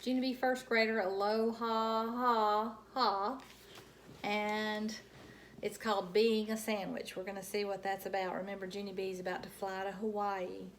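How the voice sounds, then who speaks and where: A woman reads a children's story aloud in an animated storyteller style. Her voice sits at a middle pitch, and the home recording carries faint background noise. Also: a little slow. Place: quiet house.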